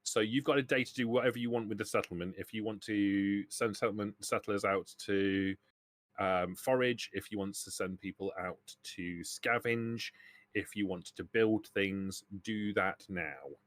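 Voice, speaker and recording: Brief: male game master, lowish voice, talking players through tabletop rules, dry recording. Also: moderate pace, dry recording. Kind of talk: running a tabletop roleplaying game, explaining rules.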